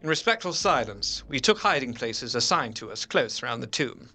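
A man playing an earnest, haunted Victorian gentleman, narrating a horror drama in a measured, literary and expressive way. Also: mid-range voice, heavily acted. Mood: dread. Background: music.

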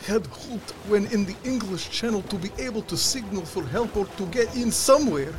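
An actor playing a weary, fearful sea captain in an audio drama, reading his log in grave, halting, clipped phrases. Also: sits high, lively intonation, background noise. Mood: grim, weary. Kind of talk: dramatic first-person log narration.

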